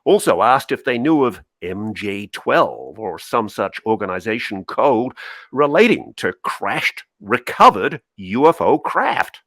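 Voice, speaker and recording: Man reading as a clipped, guarded admiral, lively delivery. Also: on the low side, measured pace, dry recording, full character voice. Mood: recounting. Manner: clipped, telegraphic phrasing. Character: guarded senior admiral recounting secrets in clipped phrases. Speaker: co-host reading a part. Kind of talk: dramatic cold reading of a memo transcript.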